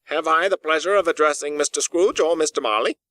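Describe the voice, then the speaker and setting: A man voicing a portly, courteous gentleman in a storybook reading, speaking formally and earnestly. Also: on the high side, brisk, dry recording, voice pushed up. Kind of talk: audiobook character dialogue.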